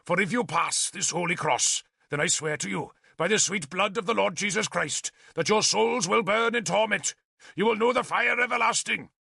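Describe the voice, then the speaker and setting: A fanatical, wrathful bishop, put on by a male narrator, booms curses at the heathens. The voice is pitched high and rough with gravel. His tone is bright and swoops wildly up and down. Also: expressive, moderate pace, dry recording, clean studio sound, full character voice. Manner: booming preacher's denunciations.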